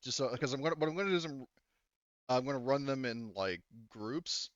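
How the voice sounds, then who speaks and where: A man's voice: mid-range voice, animated, fairly quick, smooth, no echo, dull audio. A man acting as game master, narrating a tabletop roleplaying session in a casual, informal way with frequent chuckles.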